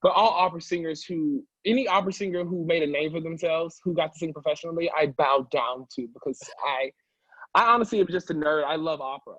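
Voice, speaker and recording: A man's voice: on the high side, quick pace, a bit bright, no echo, lo-fi sound. A man who is an opera singer talking casually as an interview guest, emphatic and chatty, often checking in with the listener. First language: English.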